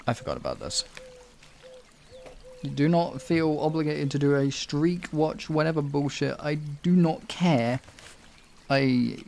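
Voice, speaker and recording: A man's voice: a little high, very animated, measured pace, gravelly, pauses often, a little mellow, slight background noise. A man hosting a livestream, chatting casually and swearing freely. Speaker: livestream host.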